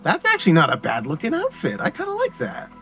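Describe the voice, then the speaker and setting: Easygoing man, lively pitch. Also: fast. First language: Swedish. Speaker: co-host playing a game. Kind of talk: casual gaming chat.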